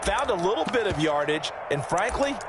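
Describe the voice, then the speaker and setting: A man's voice: high voice, very animated, moderate pace, noisy background. A man playing a chatty, enthusiastic American color analyst in a football video game, explaining plays in a conversational way. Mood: analytical. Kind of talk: analytical sports commentary. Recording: loud crowd and music behind commentary. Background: stadium crowd and music.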